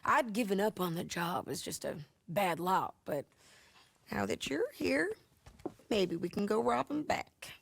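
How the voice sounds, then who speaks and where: A woman's voice: medium pitch, lively intonation, a little slow, gravelly, breathy edge, dry recording, full character voice. A woman playing a hardened frontier bounty hunter in a video game, with a dry, clipped, matter-of-fact way of talking. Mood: gruff. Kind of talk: video game cutscene acting. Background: game music and horse hooves.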